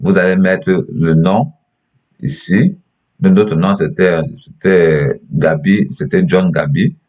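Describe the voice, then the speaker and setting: Very deep-voiced Cameroonian man, halting. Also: a little mellow. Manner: halting, repeats himself. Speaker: tutorial presenter. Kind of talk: step-by-step screen tutorial.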